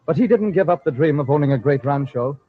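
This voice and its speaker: Man narrating old time radio tale, fairly high voice, really animated, dull lofi recording. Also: fast. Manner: dramatic, florid storytelling. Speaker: storyteller, narrator.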